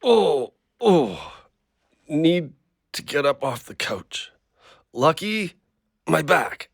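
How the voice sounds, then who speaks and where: A man's voice: fairly high pitch, big pitch swings, extremely slow, vocal fry, slightly rough, no echo, heavily acted. A tired kindly guy down on his luck, folksy, voice swings up and down, dry recording. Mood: groaning, weary. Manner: folksy, colloquial. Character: weary, kindly down-on-his-luck man with bad back. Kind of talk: audio drama acting.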